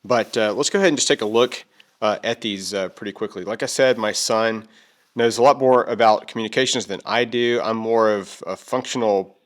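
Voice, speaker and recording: A man's voice: lowish voice, fairly quick. A middle-aged man giving a casual product overview as a video host, plain-spoken and folksy. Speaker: video host reviewing gear.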